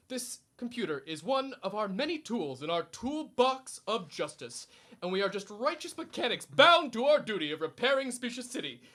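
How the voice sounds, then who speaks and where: Casting: male actor as grandiose small-time superhero for radio comedy, animated, forceful tone, flustered under pressure. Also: high voice, exaggerated voice. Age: young adult. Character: pompous, needy, suburban amateur male superhero.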